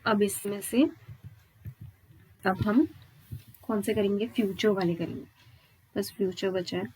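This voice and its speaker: A woman's voice: mid-range voice, fairly even intonation, a little slow, warm tone, a little hiss or hum, low-quality recording. A woman with an Indian accent teaching grammar step by step, often checking with questions that listeners follow. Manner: instructional, checks understanding with frequent questions and tags. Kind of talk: exam-prep lesson, explaining step by step.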